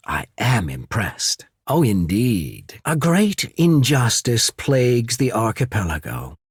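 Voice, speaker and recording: An actor voicing a courtly, shrewd diplomat of a trading company in a video game, speaking in a polite, measured, formal way. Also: male voice, medium pitch, breathy edge, no room sound, studio quality, full character voice. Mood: measured, persuasive. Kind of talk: video game character acting.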